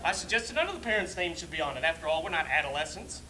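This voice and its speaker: Man acting a shrill, frantic bridegroom. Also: animated, racing, bright, forceful tone, rough recording. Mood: exasperated. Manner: agitated, emphatic, swooping delivery. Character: frantic, put-upon bridegroom, exasperated and neurotic. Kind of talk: theatrical stage monologue.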